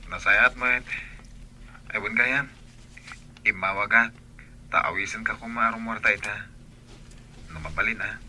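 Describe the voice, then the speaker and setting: A man acting sharp-voiced young caller. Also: on the low side, unhurried, long pauses, slight background noise. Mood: inviting. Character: courteous young suitor making a phone invitation. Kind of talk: audio drama acting.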